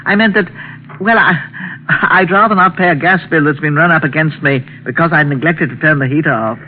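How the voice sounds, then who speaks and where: An actress playing a fussy, anxious older aunt in a vintage radio drama, hesitant and rambling but quick-witted. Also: female voice, low voice, a little hiss or hum, close to an everyday voice. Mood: flustered stalling. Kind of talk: 1940s radio drama acting and first-person narration. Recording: tinny old radio recording, music behind.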